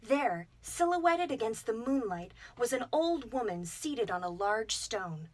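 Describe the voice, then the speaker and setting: A woman reading a storybook aloud to children with clear, expressive delivery. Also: on the high side, a little slow.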